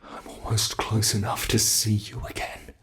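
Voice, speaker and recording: A British man voicing an ancient, cruel male monster that hunts humans, slow and relishing, in an ASMR horror roleplay. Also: fairly low pitch, monotone, pushed and bright. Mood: taunting. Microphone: close mic.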